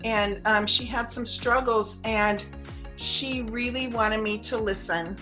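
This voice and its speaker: A woman's voice: a little high, fairly even intonation, slow pace, pushed and bright, faint music behind, low-quality recording. A woman and mother telling her story earnestly as a fan. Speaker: mother and fan in a video clip.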